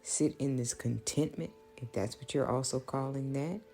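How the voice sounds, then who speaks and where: A woman, thinking out loud in a reflective, prayerful monologue, halting and earnest as she repeats phrases. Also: rumbling low, soft background music. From small-town Louisiana, United States. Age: late 30s. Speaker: podcast host.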